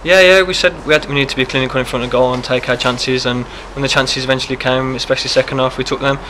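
A young man answers post-match questions at speed, sticking to an even, level tone. His fillers pile up as he talks into a handheld mic held close. A faint noise runs underneath. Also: mid-range voice, poor audio. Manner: quick, steady answers with many fillers. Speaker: footballer. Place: press backdrop, indoors.

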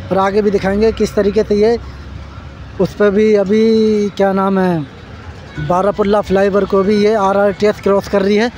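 A high-pitched man narrates a walking vlog by a busy road. His delivery stays level and monotone as he keeps pointing things out to his viewers. It sounds like a handheld phone recording outdoors. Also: a little hiss or hum. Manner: repetitive, addresses viewers as friends, points things out. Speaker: vlogger. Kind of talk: casual walking construction-site vlog. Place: outdoors beside a busy road and flyover construction.

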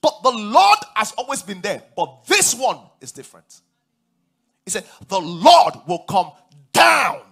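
A man in his forties with a Nigerian accent, preaching a sermon on a large church stage, animated and rising to loud emphatic declarations. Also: high voice, unhurried, creaky, husky. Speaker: pastor.

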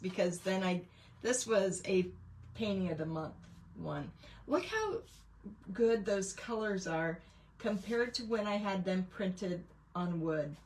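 Slow-paced, chatty woman craft host. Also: medium pitch, a little hiss or hum. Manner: rambling, chatty show-and-tell. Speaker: painting teacher and craft livestream host. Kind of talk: casual livestream chat. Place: indoors at a craft table.

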